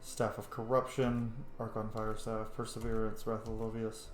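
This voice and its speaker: A man doing casual let's-play commentary, thinking out loud as he plays a video game. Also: fairly low pitch, completely flat delivery, normal speed. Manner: thinks out loud, muttering item names. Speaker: video game player narrating a roleplay playthrough. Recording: roomy echo, background noise.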